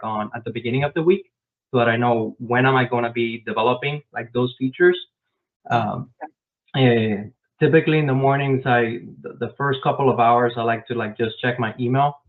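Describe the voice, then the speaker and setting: A man raised in Miami answering interview questions about his work as a software developer, explaining step by step with frequent "um" fillers, over a video call. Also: mid-range voice. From grew up in Miami, Florida. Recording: tinny phone-like online call.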